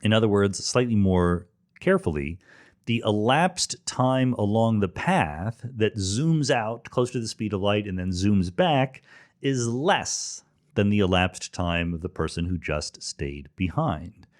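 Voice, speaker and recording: Low-voiced man explaining, with lively intonation. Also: measured pace. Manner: didactic and conversational, with emphatic stresses. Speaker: podcast host and physics explainer. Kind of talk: solo explanatory science talk, informal lecture.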